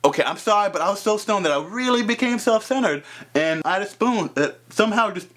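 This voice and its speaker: A young man with a loud voice telling a comedic story on his vlog, swinging between mumbled asides and loud exclaims. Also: high voice, lively intonation, brisk. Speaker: vlogger.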